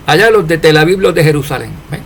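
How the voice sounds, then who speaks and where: A man preaching an informal sermon to his followers, asking rhetorical questions and swinging between loud and soft. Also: a little high, quick pace, gravelly, slight background noise. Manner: preachy, rhetorical questions, animated rises and drops in loudness.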